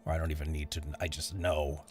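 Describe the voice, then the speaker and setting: A man's voice: a bass voice, little pitch movement, quick pace, a little mellow, soft background music. A man streaming while he edits voiceover audio, chatting loosely with his viewers and rambling between tasks. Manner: rambling, chatty, answers chat while working. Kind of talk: casual live-stream chat.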